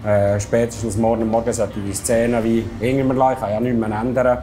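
Mann mit schweizerdeutschem akzent, mittlere stimmlage, spricht locker mit ein paar ähs, musik im hintergrund. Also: male voice, mid-range voice, little pitch movement, brisk, mellow, soft background music. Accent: Swiss German. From Switzerland. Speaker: ice hockey referee. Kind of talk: sponsored video voice-over and interview.

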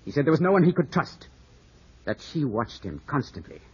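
A man acting a grieving, suspicious young English gentleman in a 1950s radio drama, clipped and questioning. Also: on the high side, very animated, moderate pace, breathy edge, exaggerated voice. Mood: accusing, bitter. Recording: muffled old radio broadcast.

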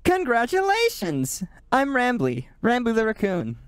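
A man voicing a cheerful cartoon raccoon mascot in an announcer style, with robotic pitch-tuned processing. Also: huge pitch swings, a little slow, clear, resonant tone, dry recording, studio quality, heavily acted. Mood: bubbly, upbeat. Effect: pitch correction, robotic stepped tuning. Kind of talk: announcer spiel.

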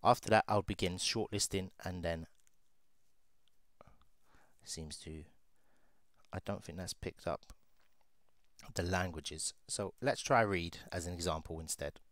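Low-voiced man narrating a screen demo. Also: measured pace, pauses often, a bit bright, no room sound. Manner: casual, thinking aloud with fillers while clicking. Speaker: recruiter. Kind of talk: screen-share software walkthrough.

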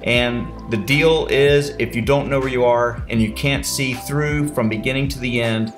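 An American man with a mid-pitched voice narrates an outdoor sports vlog over music. His delivery is informal and instructive, explaining things casually as he goes. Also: fairly even intonation, normal speed.

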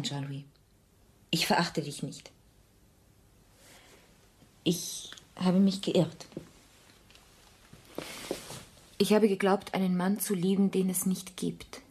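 A sombre woman whose love has soured, in a radio drama. Her voice sits a little low and is noticeably husky. She speaks with measured, deliberate gravity. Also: darkish tone. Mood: sad disillusion. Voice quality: husky, breathy. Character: disillusioned, grave woman ending a love affair. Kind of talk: German radio play acting, intimate dialogue.

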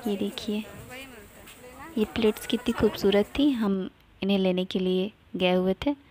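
A woman's voice: mid-range voice, steady tone, unhurried, mellow, a little hiss or hum. A young woman from India narrating a casual travel vlog, cheerful and chatty, pointing things out to her viewers. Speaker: vlogger.

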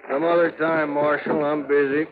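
A man's voice: fairly high pitch, little pitch movement, normal speed, phone-quality sound, natural voice. Man playing surly rural American buffalo hunter. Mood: brusque. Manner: gruff, dismissive. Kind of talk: 1950s radio acting. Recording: thin, noisy old 1950s radio recording.

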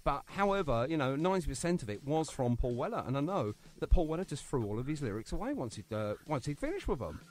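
Chatty radio host, animated. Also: male voice, a little high, quick pace, few pauses. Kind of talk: casual radio interview. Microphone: phone line to guest.

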